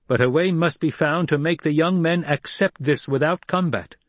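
A man voicing an intense young male desert leader in an audiobook, speaking with emphatic, deliberate command. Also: a little high, no room sound, voice pushed up, natural voice. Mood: firm, commanding.

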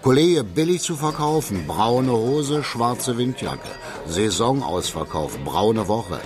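An actor playing a boastful, chummy small-time crook with a Berlin accent in a radio drama, colloquial and swaggering. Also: male voice, medium pitch, lively intonation, slow. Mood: mocking sales patter. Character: boastful, chummy Berlin crook spinning tales and hawking goods. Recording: music behind the dialogue.

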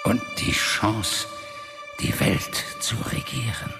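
An actor playing an ancient demon lord and father in a fantasy radio drama, speaking with solemn, commanding, menacing calm. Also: fairly low pitch, slow pace, creaky, exaggerated voice. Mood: calm, grave. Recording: music under the voice.

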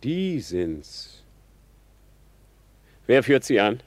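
An actor playing a bitter, mocking young prince who feigns madness in a theatrical German radio play of classical drama. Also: male voice, mid-range voice, sing-song, extremely slow. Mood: brooding, inquiring. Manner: theatrical, sardonic.

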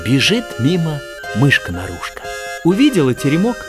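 A man narrating a children's fairy tale in an expressive, theatrical storytelling style. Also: a little high, normal speed. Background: children's music.

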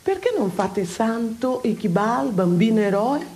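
A woman's voice: a little high, very animated, measured pace, darkish tone, reverberant. A woman playing an impassioned, scolding God in a satirical stage monologue, declaiming with theatrical emphasis. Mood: indignant, grieving.

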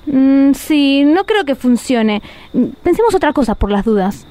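A very high yet mellow young woman's voice with an Argentine accent , acted by a woman. She is a fretful, doubting accomplice in a radio play. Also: very animated, measured pace. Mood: doubtful. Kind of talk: radio drama acting.

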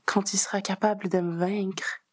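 A woman's voice: mid-range voice, flat delivery, moderate pace, natural voice. A woman voicing a powerful, amused witch who rules a coven, honeyed, teasing and self-assured, in a narrated story. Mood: seductive taunt.

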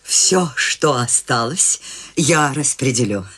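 A woman's voice: extremely low pitch, expressive, slow pace, a bit bright, breathy edge, heavily acted. An actress playing an eccentric, free-spirited elderly woman in a studio radio play, tender and wistful. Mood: whimsical. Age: older. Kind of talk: studio radio drama acting.

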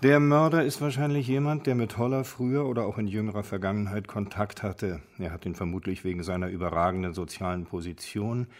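An actor playing a sober lead homicide investigator, measured and matter-of-fact, in a radio drama. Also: male voice, lowish voice, fairly even intonation, a little mellow, lightly acted. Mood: businesslike.

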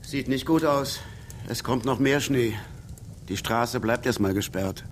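An actor playing a gruff older ex-navy motel owner in a German radio crime drama, speaking tersely. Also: male voice, mid-range voice, measured pace, a little hiss or hum, lightly acted. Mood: grumbling.

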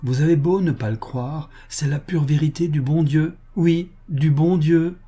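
A man's voice: a little high, measured pace, dark tone, slightly rough, voice pushed up. A man voicing a proud, passionate society lady in love, with emphatic, pleading delivery, in a dramatic reading of a novel. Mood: indignant, earnest.

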